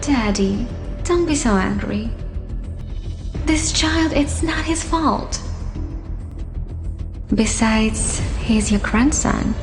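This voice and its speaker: An actress playing a scheming young woman in an audio drama, pleading with feigned sweetness and a drawn-out, gentle delivery. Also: a little high, lively intonation, over music, heavily acted. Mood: falsely soothing. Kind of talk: dramatised audio story acting.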